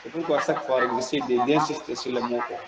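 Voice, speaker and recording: A man's voice: medium pitch, fairly even intonation, a loud crowd. Mauritanian-accented man, hesitant and echoey. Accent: Mauritanian French. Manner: hesitant, restarts often, fast natural pace. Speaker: public speaking student. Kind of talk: reading exercise and discussion in a class.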